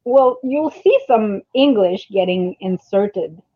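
A middle-aged woman chatting casually in an animated, storytelling way, with thin phone-like audio. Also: medium pitch, takes it slowly, dry recording. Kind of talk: casual chat.

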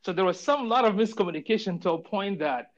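A man raised in Saudi Arabia, on as a podcast guest, tells stories about his childhood. He is chatty and laughs often, and his voice sits slightly higher than most men's. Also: animated, quick pace, highs cut off. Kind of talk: casual interview storytelling.

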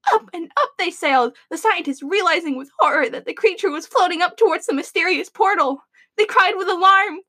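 A woman's voice: very high voice, pushed and bright, full character voice. A woman playing an eager, theatrical government radio announcer in a comic dystopian audio drama. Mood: mock tragic.

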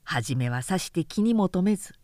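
Low-voiced, expressive woman narrator. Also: moderate pace, dry recording, clean studio sound. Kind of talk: scripted literary narration, story reading.

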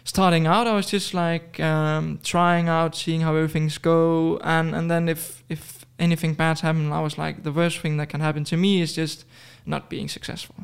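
A man's voice: fairly high pitch, little pitch movement, normal speed, vocal fry, hardly any pauses, clean tone. A man in his twenties from Denmark, chatting casually as a podcast guest, hesitant with many fillers and restarts. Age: 20s. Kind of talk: casual interview chat.

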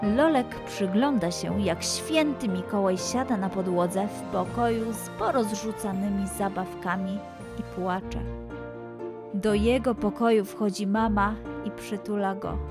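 A woman reading a scripted children's story as narrator, with careful, expressive storytelling. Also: mid-range voice, unhurried. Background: background music.

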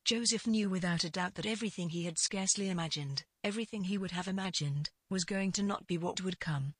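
Husky low-voiced woman narrating fiction. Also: quick pace, no room sound, studio quality. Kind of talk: scripted literary fiction narration.

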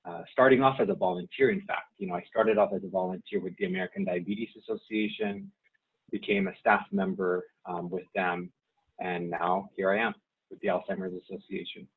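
A deep-voiced man from Saipan, Chamorro his first language, talks through an interview slowly and haltingly, circling back with ums and restarts. His voice is husky and low. It comes through a thin, phone-like line. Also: steady tone. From Saipan, Northern Mariana Islands. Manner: hesitant, many fillers and restarts. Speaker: guest, nonprofit staff member. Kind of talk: casual interview.

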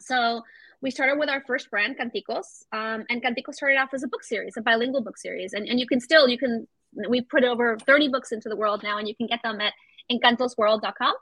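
A woman's voice: a little high, brisk, sharp tone, dry recording, low-quality recording. A woman from Venezuela, a podcast guest who talks with enthusiasm and slips Spanish phrases into her English. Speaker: guest, founder of a children's media brand. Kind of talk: podcast interview.

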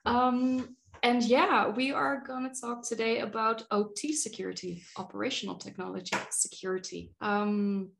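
A woman's voice: a little high, fairly even intonation, moderate pace, gravelly, few pauses, fairly bright tone. A woman hosting a podcast, chatting casually as she interviews her guests. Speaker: podcast host.

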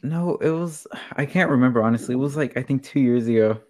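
Easygoing co-host, mid-pitched, hesitant and relaxed delivery. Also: fast talker. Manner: relaxed, hesitant, laughs easily. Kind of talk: casual chat.

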